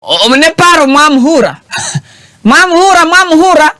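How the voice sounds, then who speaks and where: A Ugandan man hosting a show, giving animated and teasing political commentary that switches languages mid-sentence. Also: very high-pitched, normal speed, no room sound, clean studio sound.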